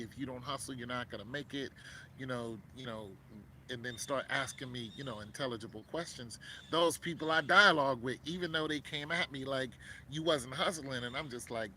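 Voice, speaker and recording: Bright-voiced man in his fifties, rambling. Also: medium pitch, a little hiss or hum, low-quality recording. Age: 50s. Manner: rambling, frequent fillers and restarts. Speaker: vlogger. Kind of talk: casual talk to a viewer.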